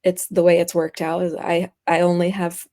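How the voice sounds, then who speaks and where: A woman's voice: lowish voice, level tone, moderate pace, mellow. A young woman guest chatting casually in an interview, rambling with frequent laughs. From United States, raised partly in France. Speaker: interview guest, graphic artist.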